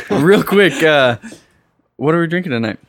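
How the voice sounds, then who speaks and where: A man's voice: a little high, animated, a little slow, a little hiss or hum. A man co-hosting a podcast, speaking hesitantly and often repeating his first words. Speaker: podcast co-host. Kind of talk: casual chat.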